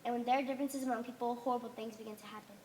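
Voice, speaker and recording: A woman's voice: on the high side, steady tone, racing, a little mellow, a little hiss or hum, lightly acted. A young woman playing a stern, solemn religious leader in an amateur stage play, speaking in commanding, declamatory tones. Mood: solemn, preaching. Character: stern authoritarian female religious leader of a conformist society. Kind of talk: amateur one-act stage play acting. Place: theater stage.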